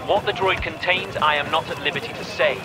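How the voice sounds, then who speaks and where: A man acts out a stern, menacing game villain commander with a slightly high-set male voice. He declaims orders formally and with zeal, over loud dramatic music. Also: brisk, natural voice. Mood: cold resolve. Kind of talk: dramatic video game briefing.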